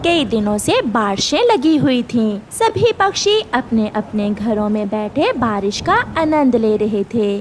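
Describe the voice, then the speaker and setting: A woman's voice: a little high, a little hiss or hum. A woman narrating a children's story in a storybook style.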